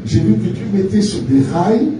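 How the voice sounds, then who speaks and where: A man from France preaching a sermon in short phrases, pausing for an interpreter. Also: high-pitched, lively intonation, heavy background noise. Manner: pauses in short phrases for translation. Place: large church hall. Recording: echoey church from far off.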